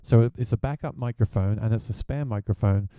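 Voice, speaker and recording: A man with a deep voice, a gigging musician, chatting plainly through a gear review. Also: brisk, dark tone, clear, resonant tone, no echo, muffled recording.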